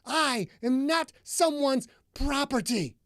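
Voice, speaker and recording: A woman narrator voicing a volatile, bitter young woman who shouts in high, clipped bursts. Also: very animated, a little slow, no room sound, studio quality. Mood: furious.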